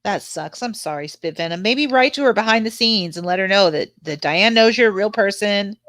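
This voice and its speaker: A woman's voice: mid-range voice, expressive, fairly quick, a bit bright, dry recording. A woman with a slightly stuffed-up nose, chatting casually with viewers on a livestream panel. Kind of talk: casual chat with live viewers.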